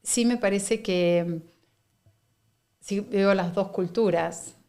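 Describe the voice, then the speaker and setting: A middle-aged woman from Argentina with an Argentine Spanish accent, a guest speaking in a measured, reflective interview manner. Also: medium pitch, darkish tone. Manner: reflective, measured, with frequent fillers and laughs. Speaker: guest, company director. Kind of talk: conversational interview.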